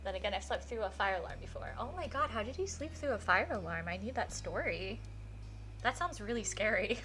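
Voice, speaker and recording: A woman livestreaming a visual novel, chatting casually and reading the story aloud in an animated way, reacting out loud. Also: medium pitch, brisk. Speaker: streamer playing a visual novel. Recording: faint music behind.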